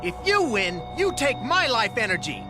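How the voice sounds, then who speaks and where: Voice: medium pitch, sing-song, normal speed, music in the background. An actor playing a brash, fearless teenage boy duelist in a cartoon dub. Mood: bold, determined.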